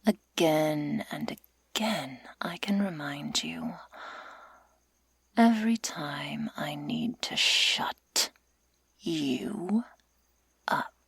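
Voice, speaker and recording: A woman acts out a menacing female werewolf captor in a whispered ASMR roleplay. Her voice is lowish, very breathy and husky, in a dry close-up recording. She speaks with slow, taunting deliberation and mock tenderness. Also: a bit bright, no room sound, full character voice. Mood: cold, menacing. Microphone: close ASMR microphone.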